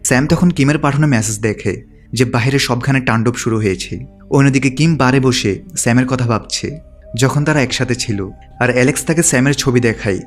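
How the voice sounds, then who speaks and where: A man giving a scripted narration in a steady storytelling manner. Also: medium pitch. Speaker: movie recap narrator. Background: background music.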